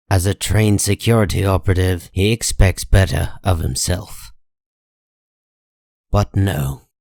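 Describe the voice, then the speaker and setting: A man narrating a scripted comic story in a measured, deliberate dramatic style. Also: deep voice, a little mellow, no room sound, clean studio sound.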